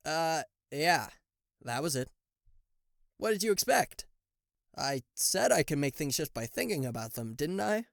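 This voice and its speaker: A man's voice: fairly high pitch, sing-song, unhurried, gravelly, long pauses, a bit bright, no room sound, clean studio sound, full character voice. A man playing a cocky, sarcastic devil and fallen angel in a roleplay audio, with a theatrical, teasing manner that slips into flustered stammering. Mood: playful, boastful. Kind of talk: roleplay audio acting addressed to the listener.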